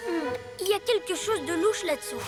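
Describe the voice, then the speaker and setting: A woman's voice: very high voice, lively intonation, fairly quick, music in the background. A woman voicing a young boy superhero in a cartoon dub, fussy and scolding. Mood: fretful.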